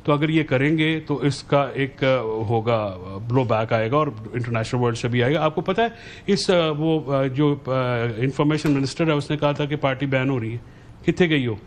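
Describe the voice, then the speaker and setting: A man's voice: medium pitch, normal speed, a little hiss or hum. A man with a Pakistani Punjabi accent debating politics as a panelist in a blunt, colloquial way.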